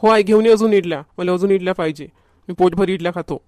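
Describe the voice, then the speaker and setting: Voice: medium pitch, fast talker, dark tone, no room sound, clean studio sound, natural voice. A performer voicing a food-loving, whiny young schoolboy in a homemade comedy cartoon, with a Varhadi Marathi accent. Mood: eager. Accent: Varhadi Marathi from Vidarbha.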